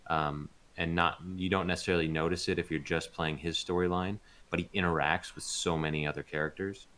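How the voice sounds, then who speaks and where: A man being interviewed as a voice actor, chatting casually and hesitantly, thinking aloud with pauses. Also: low-pitched, steady tone, fairly bright tone, a little hiss or hum.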